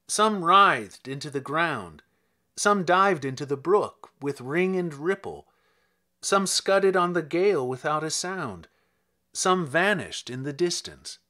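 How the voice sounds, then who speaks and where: A man with a fairly high voice gives a dramatic reading of narrative verse. His delivery is slow and measured, with wide, expressive swings in pitch. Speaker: reader of a poem.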